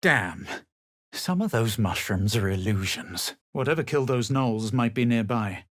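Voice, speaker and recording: An actor voicing a self-assured, haughty adventurer and spellcaster in a fantasy video game, with a husky voice and measured, theatrical delivery. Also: male voice, on the low side, animated, fairly bright tone, dry recording, studio quality. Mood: wary, brooding. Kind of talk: fantasy video game voice lines, combat barks and narrated recollections.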